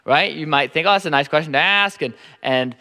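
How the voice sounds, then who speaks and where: A pastor in his thirties with a Nebraskan accent preaches in a relaxed, stop-and-start way, often doubling his words. His voice is forceful and smooth, sitting a little high for a man. The sound hangs in a church hall with a long reverb. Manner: halting, repeats words often. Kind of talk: sermon.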